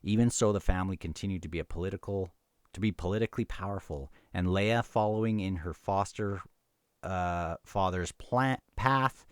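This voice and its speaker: A man's voice: deep voice, no echo, clean studio sound. A man casually discussing a book and reading passages aloud, often stumbling and repeating phrases as he goes.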